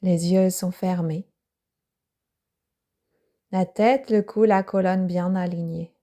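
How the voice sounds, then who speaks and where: A woman yoga teacher guiding a yoga nidra relaxation, speaking softly with breathy, half-whispered phrases. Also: lowish voice, steady tone. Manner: slow, soft-spoken guidance with breathy, half-whispered phrases.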